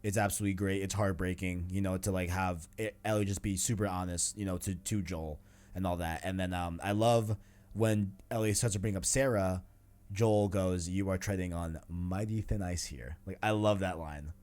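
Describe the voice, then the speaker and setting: A man's voice: deep voice, steady tone, normal speed, a little mellow. A man hosting a casual video game discussion, talking in a rambling, enthusiastic, slangy way. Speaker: podcast host.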